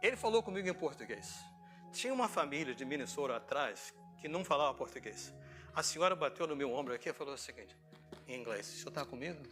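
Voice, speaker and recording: A man's voice: a little high, lively intonation, fast talker, over music. A middle-aged Brazilian man preaching informally as a pastor, telling stories conversationally with rhetorical questions. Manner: conversational storytelling, acting out dialogue, asking rhetorical questions. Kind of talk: informal sermon with personal anecdotes.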